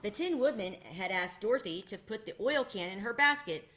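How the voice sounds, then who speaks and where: A woman narrates a children's book aloud in an expressive storytelling manner. Her voice is bright and clear. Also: medium pitch, normal speed. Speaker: reader.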